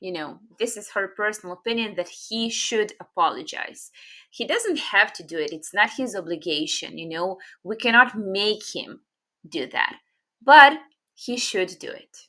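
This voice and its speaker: Young Serbian-native woman, bright tone, patient English teacher full of ums. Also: mid-range voice, lively intonation. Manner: patient, explains slowly with many fillers. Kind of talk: casual online grammar lesson.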